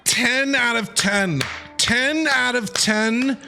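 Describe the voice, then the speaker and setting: A man's voice: extremely high pitch, drawn-out words, creaky, music in the background. A man who streams games, giving casual, enthusiastic live reaction commentary and often repeating himself. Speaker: game streamer.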